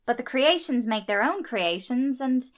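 Woman as a radio play angel, fairly high voice, earnest, helpful, matter-of-fact. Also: lively intonation, normal speed. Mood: earnest, explanatory. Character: bright, helpful female angel of good. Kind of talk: radio drama acting.

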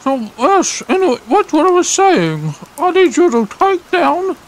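An actor playing an eager young woman in a comedy game redub. Her voice is very high and lively, with some creak. She rambles chirpily, apologizing and correcting herself as she goes. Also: a little slow, exaggerated voice, low-quality recording. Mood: earnest, chatty.

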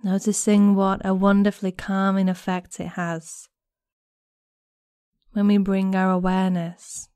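A woman guiding a meditation in a gentle, soothing, unhurried manner with long pauses between phrases. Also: medium pitch, dry recording, studio quality. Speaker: guided meditation instructor. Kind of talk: guided body scan meditation narration.

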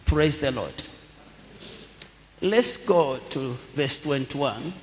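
A middle-aged man from Zambia, preaching a sermon slowly and deliberately into a handheld microphone, leading the congregation in prompts. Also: mid-range voice, expressive, fairly bright tone. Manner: slow, deliberate preaching with call-and-response prompts. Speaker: pastor. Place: large church hall with congregation. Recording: long hall reverb.

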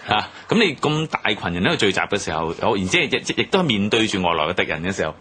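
Low, quick Hong Kong Cantonese man. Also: very animated, bright, forceful tone, slight background noise. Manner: analytical, explanatory. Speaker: commentator on history radio programme. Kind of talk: analytical radio discussion.

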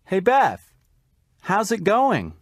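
A man reading the part of a friendly young man in a slow scripted English-lesson dialogue. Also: high voice, wildly swooping pitch, no echo, studio quality, heavily acted.

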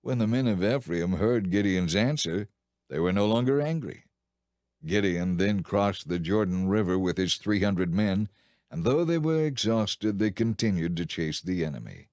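A man narrating a dramatized audio Bible in a measured, clearly enunciated storytelling style. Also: low-pitched, sing-song, a little mellow, dry recording, studio quality.

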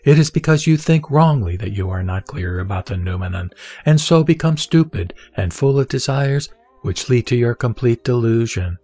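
A man reading the words of the Buddha as a calm, wise teacher, in a measured and solemn scripted recitation. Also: mid-range voice, husky, no room sound, faint music behind.

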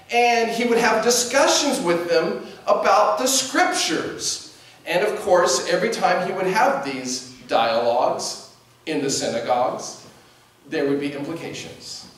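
A man in his forties, a pastor preaching a sermon from the pulpit in a conversational, animated way, with lively gestures. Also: sits high. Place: church sanctuary at a pulpit. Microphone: distant camera mic. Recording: very echoey church from a distance.

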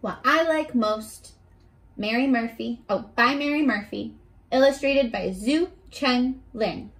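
A woman in her twenties reading a picture book aloud to young children as a teacher, expressively, pausing to talk to her listeners. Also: on the high side. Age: 20s. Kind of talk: children's read-aloud with simple questions to listeners. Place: small furnished bedroom, indoors.

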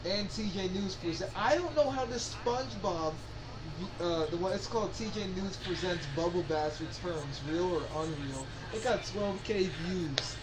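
A man's voice: sits high, measured pace, low-quality recording. A man chatting casually about his old videos, rambling and laughing often, over a console chat mic at home. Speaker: channel owner.

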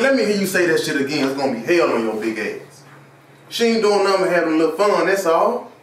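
A young man playing a domineering, foul-mouthed partner in a stage drama, profane and commanding. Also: high-pitched. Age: young adult. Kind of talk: amateur stage drama dialogue. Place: small living room, far camera. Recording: echoey room, distant mic, hiss.

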